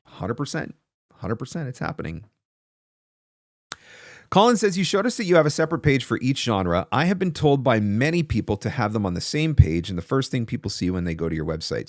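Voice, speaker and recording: A man's voice: mid-range voice, no room sound, clean studio sound. A man in his forties hosting a casual advice Q&A, speaking emphatically with rhetorical questions and repeated key phrases. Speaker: host, voice actor coach. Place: small home studio, indoors. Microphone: close desk broadcast microphone.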